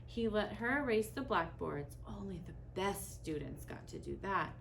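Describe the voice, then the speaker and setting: A woman reading a children's story aloud expressively, with deliberate pauses and dramatic emphasis. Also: medium pitch, lively intonation, vocal fry, slight background noise.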